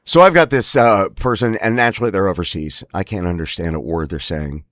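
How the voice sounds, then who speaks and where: A man's voice: deep voice, fairly quick, fairly bright tone, dry recording, studio quality. A man with a strained, spasmodic voice telling a casual, wry story as host of his own show, rambling and emphatic. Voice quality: spasmodic, strained breaks from spasmodic dysphonia. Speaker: host of a lifestyle series about a voice disorder. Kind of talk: casual anecdotal monologue.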